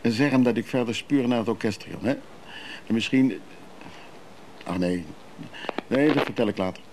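An actor playing a guarded, evasive traveller in a radio play, speaking tersely and haltingly. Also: male voice, mid-range voice, darkish tone, slight background noise, close to an everyday voice, rough recording. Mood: halting, reflective.

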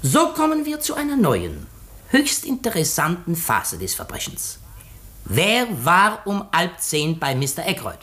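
Radio mystery role: shrewd, courteous detective, self-assured and theatrical, with strongly swooping, deliberate phrasing. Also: male voice, on the high side, huge pitch swings, pushed and bright, exaggerated voice. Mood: deliberate, dramatic. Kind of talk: 1950s German radio drama.

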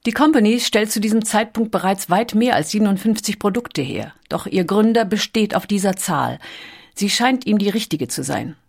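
A woman's voice: medium pitch, lively intonation, moderate pace, vocal fry, a little mellow, breathy edge. A woman reading a scripted documentary audiobook as its narrator. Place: recording studio.

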